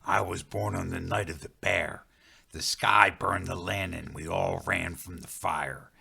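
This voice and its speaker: A man's voice: sits low, fairly even intonation, vocal fry, slight background noise, exaggerated voice. A man whispering as a solemn forest spirit guide. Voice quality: hushed, whispery. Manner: slow, solemn, measured. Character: calm stern male forest guide spirit. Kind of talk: storytelling.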